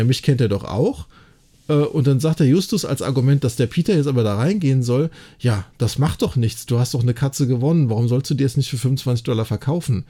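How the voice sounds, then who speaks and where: A man's voice: medium pitch, warm tone, slightly rough. A man co-hosting a podcast, retelling and discussing a story in a chatty, colloquial German style. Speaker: podcast co-host. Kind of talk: casual discussion and retelling of an audio drama plot.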